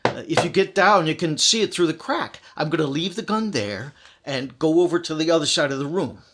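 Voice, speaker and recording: A man's voice: fairly high pitch, lively intonation, fairly quick, a bit bright. A man acting in an old-time radio thriller as a desperate, scheming murderer who is urgent and commanding, by turns wheedling and menacing. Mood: urgent bargaining. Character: desperate, scheming male murderer trying to strike a bargain.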